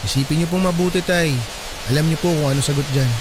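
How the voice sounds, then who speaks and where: Man playing a fast-talking, resentful young son. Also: fairly high pitch, fairly even intonation, background noise, natural voice. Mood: confronting, sarcastic. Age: young adult. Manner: curt, polite on the surface, pointed. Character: resentful grown son, coolly polite, pointedly sarcastic. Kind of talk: dramatised dialogue in a Tagalog audio drama. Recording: loud music bed under dialogue.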